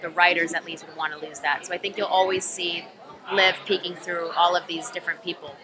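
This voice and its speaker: A woman's voice: fairly low pitch, flat delivery, brisk. A young woman actor answering press questions in a thoughtful, rambling way with many ums. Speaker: actor being interviewed. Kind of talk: press room interview answers. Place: busy convention press room. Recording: crowd chatter behind.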